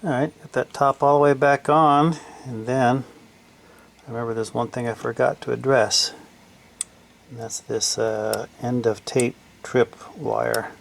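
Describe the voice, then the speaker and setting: A man giving casual hands-on repair commentary, haltingly thinking aloud as he works. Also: mid-range voice, fairly even intonation, vocal fry. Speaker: repairer demonstrating his work. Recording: faint background noise.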